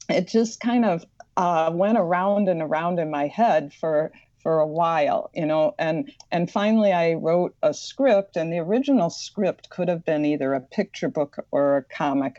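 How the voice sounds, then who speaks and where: A woman's voice: sits low, dark tone, no echo, lo-fi sound. A woman with a slight Midwestern accent, an author and librarian, talking earnestly as a podcast guest and often repeating words as she thinks. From Madison, Wisconsin.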